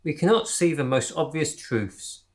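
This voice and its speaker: A man's voice: on the high side, lively intonation, normal speed, natural voice. A man acting in a radio drama as a group leader turned earnest, preachy conspiracy zealot. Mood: fervent.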